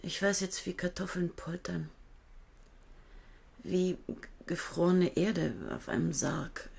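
A woman's voice: fairly low pitch, steady tone, slow, creaky, darkish tone, husky, exaggerated voice. An actress playing a bitter, defensive young woman who has inherited land, speaking in clipped, resentful replies in a radio play. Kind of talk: 1970s radio play acting.

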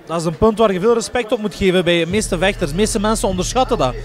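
A man's voice: high voice, fast talker. A man giving live kickboxing commentary as a fight commentator. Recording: noisy event hall ambience.

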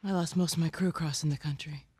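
An actress playing a weary, resolute middle-aged militia leader in a video game, husky and measured in a firm way. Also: female voice, low voice, fairly even intonation, darkish tone. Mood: subdued, weary. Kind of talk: naturalistic video game acting.

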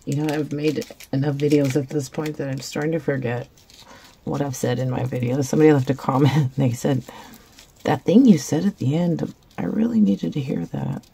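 A woman hosting a resin craft video, raspy after a concert, chatting in a rambling, self-deprecating way while she works. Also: sits low, steady tone, normal speed, warm tone. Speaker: resin craft channel host. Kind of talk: casual chat while crafting. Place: craft table indoors.

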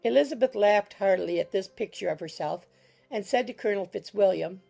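A woman's voice: medium pitch, brisk, creaky, warm tone, faint music behind. A woman reading scripted literary narration as the narrator of an audiobook.

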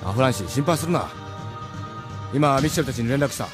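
A pragmatic, reassuring resistance fighter played by a man, talking fast in a medium-pitched voice. It is anime radio drama with music clearly behind him. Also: animated, natural voice. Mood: urgent. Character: brisk, pragmatic, reassuring male resistance comrade. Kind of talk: anime audio drama acting. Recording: voice under music.

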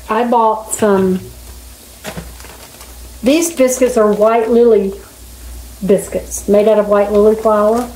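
A middle-aged or older woman chatting casually in a folksy, unhurried way as she vlogs at home. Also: medium pitch, dark tone. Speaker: home vlogger. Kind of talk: casual chat while cooking. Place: home kitchen, indoors. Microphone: camera a few feet away. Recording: roomy kitchen with sizzling pan noise. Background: frying and sizzling food.

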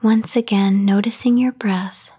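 Woman, soft breathy half-whisper, husky edge, medium pitch, soothing sleep meditation narration, unhurried with long pauses. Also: fairly even intonation. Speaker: meditation guide. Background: voice only, no music or background noise.

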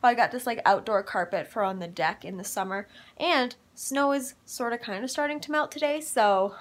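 A chatty young woman in her twenties vlogging with a handheld camera at arm's length. She talks loosely and swears freely, drifting between weary grumbling and bursts of excitement. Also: on the high side, normal speed. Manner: chatty, swears freely, swings from tired to hyped. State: congested. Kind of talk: casual vlog chat. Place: home living room, then car and mall.

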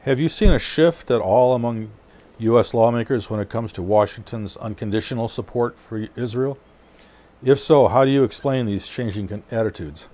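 A man's voice: mid-range voice, moderate pace, mellow, lightly acted. A man voicing a neutral, probing news interviewer, reading formal questions in a scripted re-enactment. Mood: neutral inquiry.

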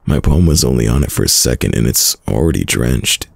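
A man playing a caring, worried boyfriend in an intimate ASMR roleplay, with a deep, gravelly, husky voice and a soft, soothing manner. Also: animated, dry recording, heavily acted. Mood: concerned, gentle. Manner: soft, slow, soothing, hesitant. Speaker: audio roleplay voice actor.

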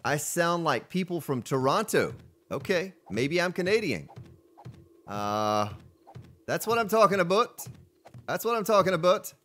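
A man's voice: on the high side, sing-song, unhurried, pauses often, fairly bright tone. Chatty male game streamer from Florida. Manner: chatty, answers viewer chat between gameplay remarks. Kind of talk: casual live-stream chat while playing.

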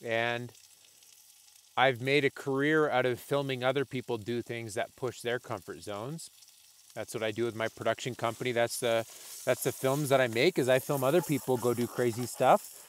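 A man in his late twenties, cold, vlogging from inside a small tent on a snowy summit in a thoughtful, candid, self-deprecating way, with halting restarts. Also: medium pitch, fairly even intonation. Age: late 20s. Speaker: outdoor filmmaker. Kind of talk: casual reflective vlog narration.

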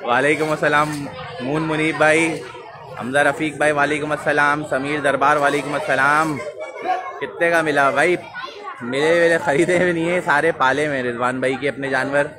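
A man's voice: a little high, steady tone, normal speed. A man hosting a live stream outdoors, greeting viewers by name as he talks through the scene. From Pakistan. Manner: chatty, greets viewers by name, repeats mashallah. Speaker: livestream host. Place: city street outdoors. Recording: busy street noise and crowd chatter.

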